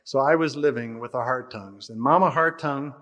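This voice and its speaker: An older American man preaching an expository sermon in a measured, deliberate way, stressing phrases and letting the endings fall away. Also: medium pitch, a bit bright, highs cut off. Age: older, about 60. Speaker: pastor. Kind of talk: expository sermon with scripture readings.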